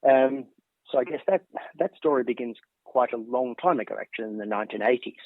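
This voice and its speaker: A man's voice: mid-range voice, quick pace, no room sound. Australian man on a thin, tinny line. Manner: thoughtful, many ums and restarts. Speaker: interview guest, professor. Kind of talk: conversational interview answers. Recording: dry thin phone-like line.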